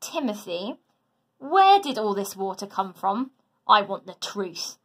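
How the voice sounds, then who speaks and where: A woman's voice: mid-range voice, big pitch swings, a little slow, breathy edge. Woman playing a practical storybook mum, firm but caring. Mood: puzzled, firm. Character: caring, practical mother of a young boy. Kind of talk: children's storybook read aloud.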